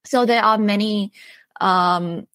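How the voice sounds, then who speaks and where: A woman's voice: medium pitch, steady tone, slow, a bit bright, no room sound. A young woman from Thailand, an author being interviewed, chatty and friendly, full of "like" and "um". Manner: friendly, chatty, many "like" and "um" fillers. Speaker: author and guest. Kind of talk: casual interview chat.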